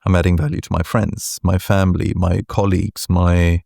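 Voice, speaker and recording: A man with a deep voice answering interview questions as a guest, measured and deliberate, often checking in with "right?". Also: level tone, vocal fry, mellow, no room sound, studio quality. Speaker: venture capital partner, guest.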